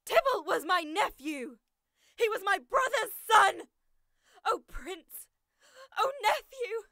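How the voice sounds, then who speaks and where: A woman's voice: extremely high pitch, big pitch swings, slow pace, sharp tone, dry recording, clean studio sound, exaggerated voice. An actress playing an anguished, vengeful noblewoman, melodramatic and demanding, in a modernized Shakespeare audio drama. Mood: wailing grief. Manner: wailing, melodramatic.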